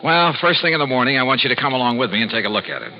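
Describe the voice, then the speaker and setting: A man's voice: medium pitch, expressive, very fast, close to an everyday voice. A male actor playing a shrewd, wry American insurance investigator in a vintage radio detective drama, narrating and talking in a clipped, hard-boiled way. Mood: firm, brisk. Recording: dull old radio recording, loud music.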